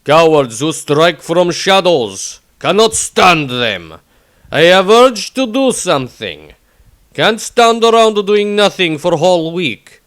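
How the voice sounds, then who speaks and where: A man voicing a gruff, blustering warrior who speaks in clipped, broken foreign grammar for a fantasy story. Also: sits high, sing-song, slow pace, full character voice. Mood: indignant, blustering. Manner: clipped broken grammar, loud indignant declaiming. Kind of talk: fantasy audio story diary.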